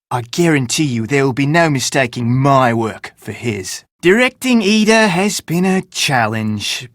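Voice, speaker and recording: An actor playing a self-important, theatrical film director in an adventure game, speaking pompously with dramatic emphasis. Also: male voice, medium pitch, fairly bright tone, no echo, studio quality, close to an everyday voice. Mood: wry, determined. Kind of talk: scripted game character dialogue.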